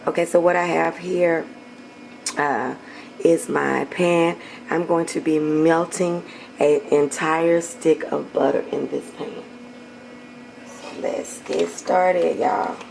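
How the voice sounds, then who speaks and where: Hoarse, gravelly-voiced woman cooking. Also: low voice, fairly even intonation, takes it slowly, darkish tone, slight background noise, rough recording. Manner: chatty, affectionate with viewers, clears throat often. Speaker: cooking channel host. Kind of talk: casual cooking vlog chat. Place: home kitchen.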